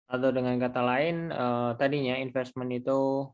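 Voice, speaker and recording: A man giving an informal lecture, explaining step by step in a halting way and posing questions to himself. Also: medium pitch, little pitch movement, clean tone, lo-fi sound. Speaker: accounting lecturer. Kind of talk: explanatory screen tutorial lecture.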